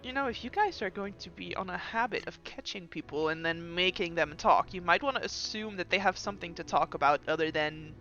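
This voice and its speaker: A woman's voice: lowish voice, brisk, few pauses, fairly bright tone, highs cut off, soft background music. A woman voicing an elegant kobold trickster. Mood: wry. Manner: elegant, smooth, mock-wounded. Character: evasive, wry fey-pact trickster in kobold form.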